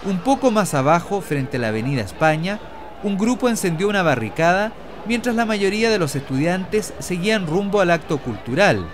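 A man's voice: high-pitched, lively intonation, normal speed, a little hiss or hum. A man narrating a scripted radio news report.

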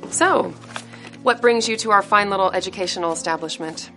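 An actress playing a friendly, chatty fellow teacher welcoming a newcomer, in a classroom. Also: female voice, medium pitch, a little fast, bright, forceful tone, soft background music. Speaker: science teacher. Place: classroom indoors. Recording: film score underneath.

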